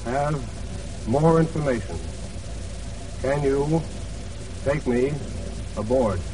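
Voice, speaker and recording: A man's voice: mid-range voice, expressive. An actor playing a dutiful signalman reading out a message word by word in a 1940s radio drama. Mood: concentrating. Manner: halting, word-by-word delivery. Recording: muffled, distant, noisy old radio recording.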